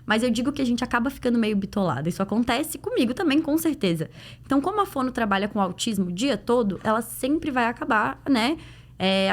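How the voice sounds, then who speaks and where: A woman with a Brazilian Portuguese accent answering in a podcast interview as a clinician guest, explaining things conversationally with illustrative examples. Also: a little high. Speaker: guest, pediatric dental clinician.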